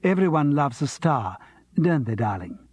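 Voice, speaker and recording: A cooing, affected stage actress who drapes every phrase in darling, pitched a little higher than a man's own voice, as a male narrator puts her on. The voice is slightly husky and very dry, close to the mic. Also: sing-song, moderate pace, darkish tone, no echo. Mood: gushing. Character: gushing theatrical actress, middle-aged woman.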